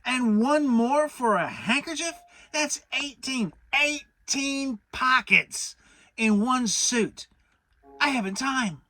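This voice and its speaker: Man putting on a loud, high, bossy rabbit. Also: animated, exaggerated voice. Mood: exasperated. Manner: emphatic, slow and careful explaining. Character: bossy, exasperated male rabbit, self-important schemer.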